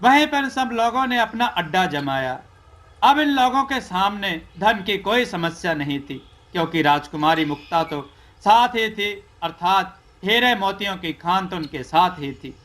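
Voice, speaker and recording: A man's voice: high-pitched, expressive, measured pace, a bit bright. A man reading a scripted folk tale as a storyteller.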